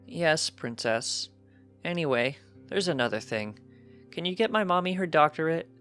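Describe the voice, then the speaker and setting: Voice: mid-range voice, lively intonation, normal speed, a bit bright, faint music behind, pitched above the performer's own voice, natural voice. A narrator voicing a precocious young pony colt, earnest and cheeky, chatting eagerly in a matter-of-fact way for a story reading. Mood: insistent.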